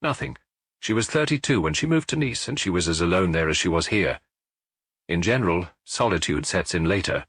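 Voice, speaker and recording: Man doing a wry thoughtful middle-aged detective, musing out loud, husky, storybook. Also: low-pitched, expressive, fairly quick, dry recording, studio quality, heavily acted. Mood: dry. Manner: musing, dryly joking.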